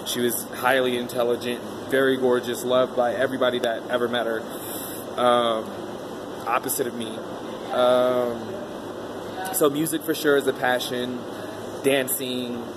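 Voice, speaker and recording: A man tells his own story in a loose, rambling monologue, often stopping for an um. His pitch sits in a middle range. A clear air-conditioner hum runs under his voice throughout. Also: level tone, a little slow. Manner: rambling, many um fillers. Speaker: vlogger interviewing himself. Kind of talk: casual self-interview monologue.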